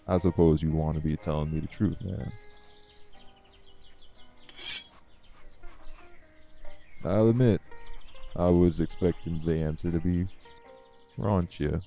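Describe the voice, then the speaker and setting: Man playing a deep gravelly, world-weary male vampire. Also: dark tone. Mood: teasing, curious. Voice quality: low, gravelly. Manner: drawling, folksy, unhurried, wry. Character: world-weary, guarded old male vampire, gruff but curious. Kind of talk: slow, intimate audio roleplay acting.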